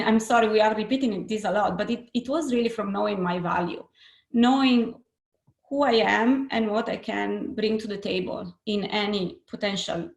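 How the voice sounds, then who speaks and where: A woman from Italy with an Italian accent, a webinar panelist answering candidly with self-deprecating, halting restarts. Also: mid-range voice, creaky, echoey room.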